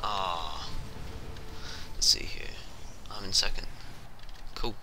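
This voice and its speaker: A man's voice: deep voice, steady tone, takes it slowly, background noise. A man giving casual, reactive live commentary while playing a shooter game, in short exclamations.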